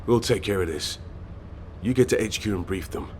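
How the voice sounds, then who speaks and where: Deep, deadpan actor as aloof male soldier. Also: normal speed, slight background noise, close to an everyday voice. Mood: serious. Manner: terse, deadpan. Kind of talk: game dialogue.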